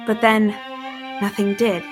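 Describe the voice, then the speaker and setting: A woman acts an earnest, troubled young heroine in a period audio drama. Her voice sits at a middle pitch and her phrasing is careful and formal. Music plays clearly behind her. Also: little pitch movement, a little slow, close to an everyday voice. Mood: tense, haunted. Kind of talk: dramatic first-person narration and period drama acting.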